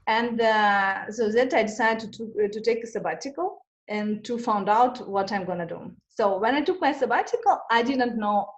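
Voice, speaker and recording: A woman's voice: a little high, normal speed, few pauses, highs cut off. A woman from Russia who speaks, answering interview questions in a hesitant way, with many fillers and restarts. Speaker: interview guest. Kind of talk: conversational interview.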